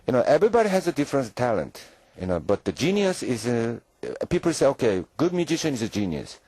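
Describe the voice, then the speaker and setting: A middle-aged man with a Korean accent, a conductor speaking halting English with many fillers as a radio interview guest. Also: mid-range voice, big pitch swings, dark tone, no echo.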